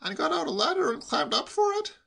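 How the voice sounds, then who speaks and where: A man voicing a breezy, inquisitive young English gentleman in a comic audiobook, facetious and persistent in his questioning. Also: extremely high pitch, big pitch swings, fairly quick, vocal fry, voice pushed up, heavily acted. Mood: incredulous, pedantic.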